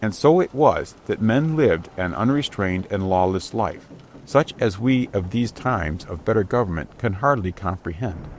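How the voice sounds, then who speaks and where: Low-pitched male audiobook narrator. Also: lively intonation, moderate pace, a little hiss or hum. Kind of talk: scripted dramatic storybook narration. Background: outdoor ship ambience.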